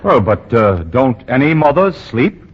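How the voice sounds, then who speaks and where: A man's voice: medium pitch, big pitch swings, a little slow, a faint crowd, a little hiss or hum. An actor playing a self-assured young male store boss in a 1940s radio drama who is pompous and stammers and chuckles. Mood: teasing. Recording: dull 1940 radio broadcast.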